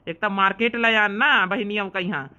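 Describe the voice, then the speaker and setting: A young village husband from a cartoon comedy, voiced by a woman, teasing and easygoing. The voice is bright and middling in pitch, with an Awadhi accent. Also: brisk, muffled recording, slight background noise, close to an everyday voice. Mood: amused.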